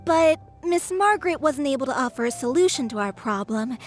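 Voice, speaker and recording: A woman's voice: high voice, animated, brisk, soft background music. A woman voicing an earnest, anxious young woman who rescues animals, speaking politely and eagerly as a game character. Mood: hopeful. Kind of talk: English game dub voice acting.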